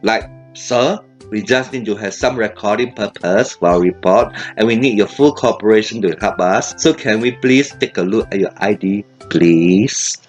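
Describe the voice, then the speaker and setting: A man's voice: lowish voice, moderate pace, few pauses, soft background music. A man hosting an opinionated commentary video, ranting in an indignant, sarcastic way. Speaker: commentary host.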